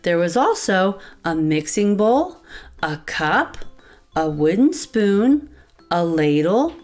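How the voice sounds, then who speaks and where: A woman's voice: mid-range voice, big pitch swings, slow pace, a little mellow. A woman reading a picture book aloud to young children as host, expressively, addressing them directly. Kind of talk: animated read-aloud narration for young children. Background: light background music.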